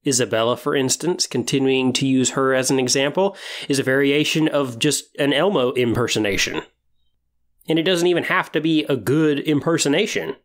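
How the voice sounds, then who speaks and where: A man's voice: medium pitch, moderate pace. Man hosting a podcast, explaining step by step. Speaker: podcast host. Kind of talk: podcast monologue giving tips on tabletop roleplaying.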